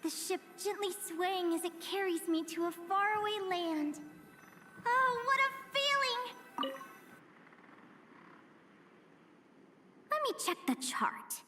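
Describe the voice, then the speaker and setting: Very high-pitched dreamy young girl. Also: unhurried, soft background music, heavily acted. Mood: dreamy excitement. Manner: breathy gasps, expressive musing aloud. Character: dreamy, excitable young girl adventurer, gasping and wondering. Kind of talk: video game story voice acting.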